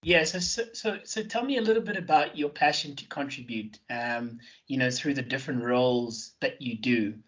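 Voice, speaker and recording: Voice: mid-range voice, normal speed, hardly any pauses, fairly bright tone, highs cut off. A podcast host who talks in an effusive way, full of fillers and repeated words. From Johannesburg, South Africa. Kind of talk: interview hosting.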